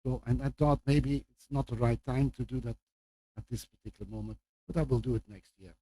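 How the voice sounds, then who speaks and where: Older male guest professor, Flemish Belgian accent, mid-pitched and very mellow, addressing an audience in an informal, halting lecture style. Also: fairly even intonation, rough recording. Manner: halting, with repeated words and asides.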